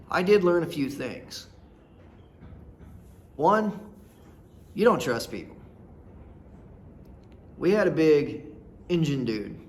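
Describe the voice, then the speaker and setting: A man in his thirties from rural Nebraska, telling personal stories in a blunt, folksy, rambling way. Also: a little high. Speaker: storyteller host. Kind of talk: casual personal storytelling.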